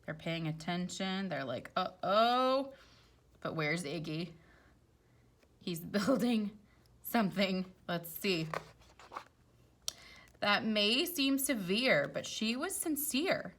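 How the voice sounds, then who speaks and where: Lower-voiced woman reading a storybook. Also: takes it slowly, long pauses. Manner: expressive, explains for young children. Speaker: elementary school teacher reading aloud. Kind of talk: children's storybook read-aloud with chatty asides.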